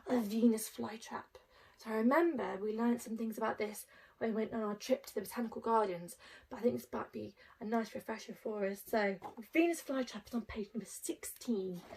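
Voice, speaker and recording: Casting: woman, higher-pitched voice, primary teacher doing an expressive read-aloud for young pupils with simple asides. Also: poor audio. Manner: addresses young children, explaining simply with rhetorical tag questions. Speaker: infant school teacher reading to pupils.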